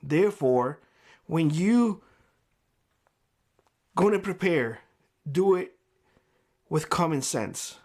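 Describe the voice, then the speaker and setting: A man speaking straight to camera in a vlog, giving blunt, lecturing advice in a hoarse voice. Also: fairly high pitch, expressive, slow, long pauses, darkish tone. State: hoarse, losing his voice. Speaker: prepper YouTuber. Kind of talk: direct-to-camera vlog monologue. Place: homestead.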